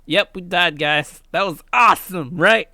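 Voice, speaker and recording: A woman's voice: fairly low pitch, measured pace, sharp tone, no room sound. A woman doing a casual, slangy Let's Play, chatting and reading visual novel text aloud in a playful, informal way.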